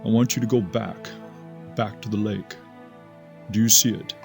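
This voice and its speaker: A man's voice: fairly low pitch, fairly even intonation, normal speed, creaky, darkish tone, soft background music. An actor playing a careful, commanding hypnotherapist in an audio drama, a man guiding a patient. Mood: soothing, commanding.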